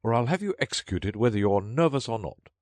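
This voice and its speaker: A man voicing an irritable, bossy king with a sharp, commanding manner in a storybook reading. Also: medium pitch, sing-song, brisk, dry recording, voice pushed up, close to an everyday voice. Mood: angry, sharp. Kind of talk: storybook character voice.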